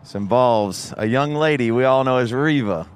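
A man with a loud voice, co-hosting a podcast in casual chat, blunt and animated and swearing freely as he tells a story. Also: medium pitch, moderate pace, smooth. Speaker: podcast co-host.

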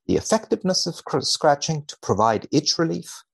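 A man speaking as a researcher guest on a podcast, dry and mock-serious in a measured delivery. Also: fairly high pitch, sing-song, gravelly, husky. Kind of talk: podcast chat and reading aloud from a study.

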